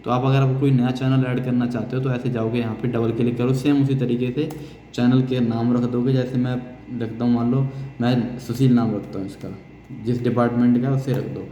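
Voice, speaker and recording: A man's voice: mid-range voice, little pitch movement, a little fast, warm tone. Indian man teaching in an echoey room. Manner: step-by-step explaining, repeats key phrases. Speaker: technical instructor. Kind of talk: hands-on spoken software tutorial. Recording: echoey reverberant room, noisy, distant sound.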